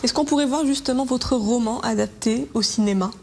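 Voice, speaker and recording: A woman hosting a cultural segment on a television news program, her voice fairly high. She asks long, measured questions with an occasional filler. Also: gravelly, darkish tone. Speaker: TV news presenter. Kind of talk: TV news interview. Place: TV studio.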